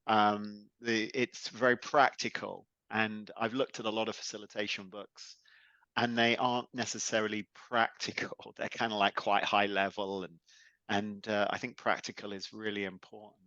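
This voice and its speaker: A man hosting a podcast interview in a relaxed, chatty way, with plenty of fillers. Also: on the low side, moderate pace, no echo, lo-fi sound. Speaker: podcast host. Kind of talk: casual interview chat.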